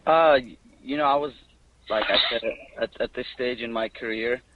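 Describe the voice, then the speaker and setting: A man's voice: medium pitch, normal speed, narrow, tinny audio, background noise. A man who fights professionally, giving casual, blunt answers off the cuff on a media conference call.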